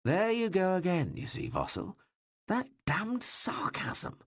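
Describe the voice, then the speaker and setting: A male narrator voicing a bawdy, teasing king who mocks and needles those around him in a loud, playful way. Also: high voice, wildly swooping pitch, slow, no echo, studio quality, voice pushed up, heavily acted. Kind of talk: audiobook reading.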